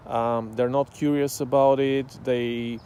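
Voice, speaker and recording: A man from Bulgaria, whose first language is Bulgarian, talks slowly and thoughtfully, filling his pauses with "um". His voice is mellow and dark, at a middling pitch. He is recorded outdoors, with faint traffic behind him. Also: flat delivery. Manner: slow, thoughtful, many "um" pauses. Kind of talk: casual talk to camera.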